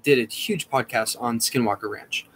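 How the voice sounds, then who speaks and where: A man hosting a casual podcast, talking in a rambling, excitable way with lots of swearing. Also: medium pitch, normal speed, breathy edge, low-quality recording. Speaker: podcast host.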